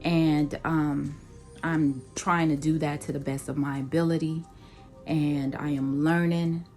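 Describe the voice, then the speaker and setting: A woman in her thirties from Nigeria giving a personal introduction to her viewers, talking slowly and deliberately with many pauses and ums. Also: low-pitched, flat delivery, a little mellow, faint music behind. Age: 30s. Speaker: vlogger. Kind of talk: casual personal introduction to camera.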